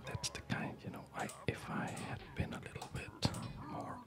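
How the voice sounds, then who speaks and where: A man giving whispered ASMR game commentary as a video host, in a soft breathy whisper with a rambling, hesitant, unhurried manner.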